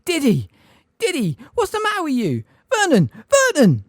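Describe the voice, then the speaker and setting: A man doing a shrill, hysterical aunt. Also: wildly swooping pitch, a little fast, no room sound, clean studio sound, full character voice. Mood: frantic, shrieking. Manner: screeching, frantic. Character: shrill hysterical aunt, middle-aged woman.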